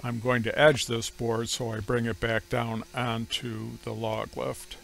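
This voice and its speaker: A middle-aged man narrating how he runs his sawmill, speaking slowly and plainly with frequent pauses. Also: medium pitch, level tone, gravelly, background noise. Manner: slow, measured, plain-spoken with frequent "uh" pauses. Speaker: homestead sawmill operator. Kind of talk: voice-over explaining sawmill operation.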